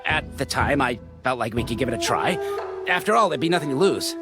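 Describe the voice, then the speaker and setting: A man's voice: mid-range voice, lively intonation, fairly quick, lightly acted. An actor playing a sheepish military underling in a video game, hesitant and hedging as he justifies himself. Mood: nervous, sheepish. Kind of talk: scripted video game dialogue. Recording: music behind the voice.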